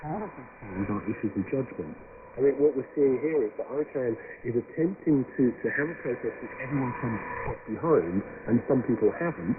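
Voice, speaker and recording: A man's voice: medium pitch, lively intonation, a little fast, phone-quality sound. A man giving analytical commentary as a regular contributor on a radio programme. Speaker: studio commentator. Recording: noisy thin shortwave radio reception.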